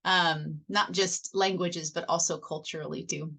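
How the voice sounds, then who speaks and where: An American woman explaining her work in measured phrases as a panelist on an online call. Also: fairly low pitch, steady tone, gravelly, a bit bright. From United States. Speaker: panelist, head of education. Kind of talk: self-introduction on a panel.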